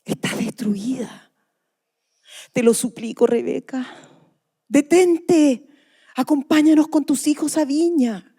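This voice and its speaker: A woman's voice: sits high, slow pace, long pauses, dark tone. A woman playing a protective, grieving mother pleading with her grown daughter in a dramatised radio drama reading. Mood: urgent pleading.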